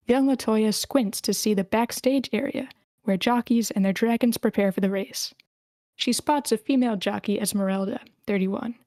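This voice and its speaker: A woman's voice: mid-range voice, a little mellow, no echo. A woman hosting a screenplay table read, switching between informal, encouraging chat and narration of stage directions. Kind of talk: casual hosting and script narration.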